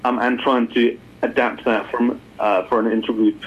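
A man's voice: mid-range voice, level tone, moderate pace, husky. A man explaining things as an expert guest in an interview, repeating words as he thinks aloud. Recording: muffled phone-like line.

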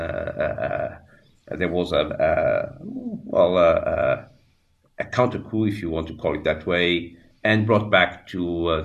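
A man in his sixties from Portugal with a Portuguese accent, talking as a radio interview guest in a halting way, with many fillers and restarts. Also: deep voice, fairly bright tone, dull audio. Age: 60s. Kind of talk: radio interview, reflective storytelling.

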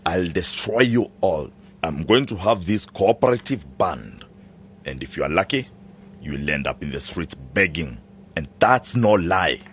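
An actor playing a menacing, scheming farm owner in a radio drama, domineering and theatrical, wheedling one moment and threatening the next. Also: male voice, low-pitched, fairly bright tone, slight background noise, full character voice.

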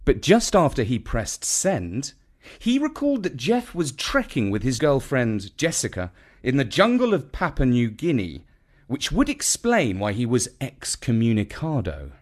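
A man narrating a scripted children's story in a dramatic, expressive manner. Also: medium pitch, measured pace.

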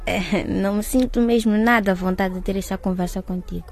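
A woman's voice: medium pitch, fairly even intonation, a little fast, a little mellow, close to an everyday voice. A young woman playing a teenage girl who is uneasy and hesitant in a radio drama.

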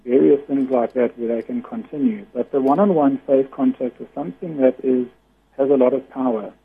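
Husky man on a tinny phone line. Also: mid-range voice. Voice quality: loud voice. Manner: earnest, explanatory. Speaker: guest. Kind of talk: radio interview over the phone.

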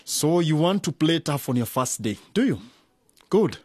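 An actor playing a domineering, patronizing long-serving town mayor in a radio drama, with a pompous, condescending manner. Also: male voice, fairly high pitch, sing-song, moderate pace, a little mellow, husky, dry recording. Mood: menacing.

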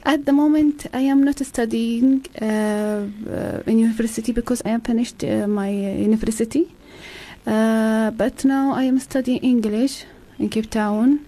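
A young woman in her twenties from Saudi Arabia, speaking English as a second language with an Arabic accent as a radio guest, halting and searching for words. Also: on the high side, steady tone, mellow. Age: 20s. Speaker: guest, exchange student. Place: radio studio.

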